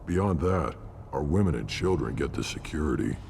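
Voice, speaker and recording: A grumpy jaded alien warrior for a game, super deep and gravelly, blunt as hell. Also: male voice, expressive, moderate pace, darkish tone, a little hiss or hum. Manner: blunt, surly, dismissive. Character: gruff, jaded male alien clan warrior. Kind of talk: video game dialogue.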